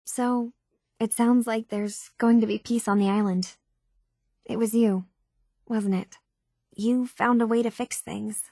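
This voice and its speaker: A woman's voice: fairly high pitch, fairly even intonation, measured pace, long pauses, warm tone, dry recording, studio quality. An actress playing an earnest, troubled young woman unsure of who she is, speaking in a hesitant, sincere way for video game dialogue. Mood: probing, concerned.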